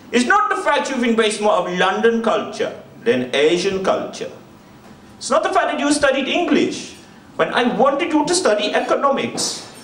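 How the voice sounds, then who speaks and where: A man's voice: extremely high pitch, fairly bright tone, slight background noise, voice pushed up, full character voice. A man voicing an exasperated Indian father scolding his son, in a badly imitated Indian accent that slips toward Welsh, on stage. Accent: poorly imitated Indian, Welsh-sounding. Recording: echoey venue, distant mic.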